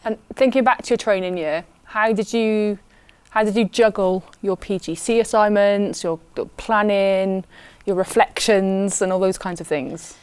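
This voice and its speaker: A woman's voice: mid-range voice, fairly even intonation, unhurried, a little mellow, clear, resonant tone, dry recording. A British woman interviewing in a casual, conversational style. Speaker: interviewer, teacher-training lead.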